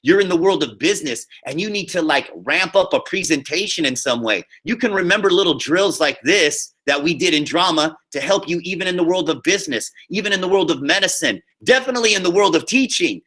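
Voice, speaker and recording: Guy drama teacher, kinda high bright voice, informal n encouraging, explaining stuff on a screen share to his class. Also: lively intonation, fairly quick, few pauses. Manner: informal, encouraging, often checks understanding with "okay?". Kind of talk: casual spoken instruction to a class over screen share.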